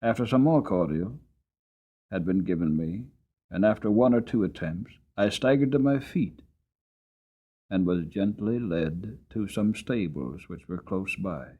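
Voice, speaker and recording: Man narrating as a weary horse, highly expressive. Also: breathy edge, heavily acted. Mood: earnest, reflective. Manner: earnest, expressive, deliberate. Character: weary, long-suffering male horse telling his life story. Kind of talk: dramatic storybook narration.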